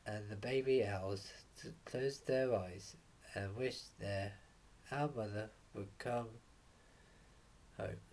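A young man reading a children's picture book aloud in a halting way, stumbling over words now and then. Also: fairly low pitch, a little mellow, slight background noise, low-quality recording. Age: young adult. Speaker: bedtime story reader. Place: small room at home, indoors. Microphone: webcam or laptop mic at desk distance.

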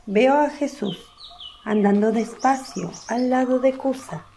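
A woman's voice: fairly high pitch, unhurried, creaky, warm tone, a little hiss or hum. A woman narrating a religious radio drama from a script. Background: faint music.

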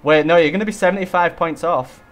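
A young man hosting a casual co-op zombie game session, excitable and chatty, bursting into loud hype over his weapon. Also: a little high, fast. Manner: excitable, hypes gun, swears mildly. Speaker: gaming channel host. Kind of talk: casual co-op gameplay chat.

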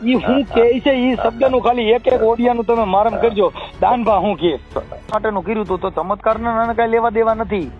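A man's voice: high-pitched, steady tone, a little fast, over music. A man with a Kathiawadi Gujarati accent arguing his opinion emphatically on a recorded phone call, repeating phrases for stress.

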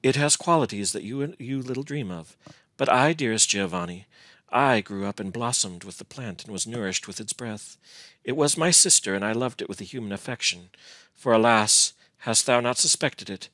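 A man voicing a gentle, lonely, devoted young woman in a gothic storybook, with archaic, plaintive, imploring speech. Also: mid-range voice, normal speed, dry recording, natural voice. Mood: tender, fearful. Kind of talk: dramatic gothic storybook reading.

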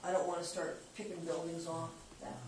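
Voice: mid-range voice, fairly even intonation, a little fast, darkish tone. Official rambling thru a report at a meeting, echoey room, heard from far off, noisy. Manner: plain-spoken, thinking aloud with frequent restarts. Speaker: water department official giving a report. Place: meeting room around a conference table. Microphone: far camera. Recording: echoey room, distant camera, background noise.

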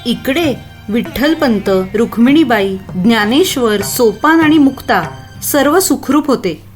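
A woman narrating a children's moral story in an expressive storytelling style. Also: on the high side, measured pace, soft background music.

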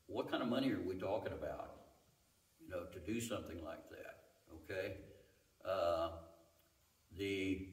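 An older man, a former church trustee, recalling a building project in a plain-spoken, hesitant way with many fillers, seated in a church sanctuary. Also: sits low. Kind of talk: seated interview recollection. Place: large church sanctuary, indoors. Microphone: far camera. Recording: echoey church hall from far off.

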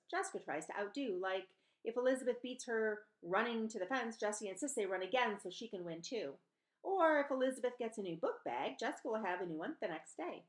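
A middle-aged woman reading a children's novel aloud as a storyteller, recorded indoors at home on a webcam microphone. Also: mid-range voice. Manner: acts out dialogue in changed voices. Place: small room at home, indoors. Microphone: webcam or laptop microphone at desk distance.

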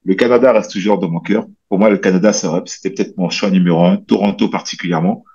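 A man in his thirties from French Guiana talks through a headset on a video call, his voice low and slightly husky. He rambles in a relaxed way, with many fillers and restarts. The call audio sounds a little dull. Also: fast talker. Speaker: guest, bodybuilder and coach. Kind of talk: casual interview chat. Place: small room at home, indoors.

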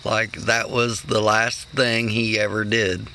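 A middle-aged man with a gravelly, bright-edged voice, chatting to his phone camera outdoors in a garden. He talks in an amazed, thinking-aloud way. Faint outdoor ambience sits behind him. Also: fairly low pitch, flat delivery, takes it slowly, slight background noise. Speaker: homesteader vlogger. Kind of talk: casual handheld vlog. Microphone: phone camera held close.

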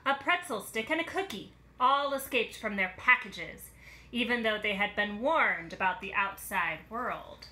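A woman in her thirties reading a picture book aloud to young children in an expressive, exaggerated storytelling way, recorded on a phone in a room at home. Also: high voice, reverberant.

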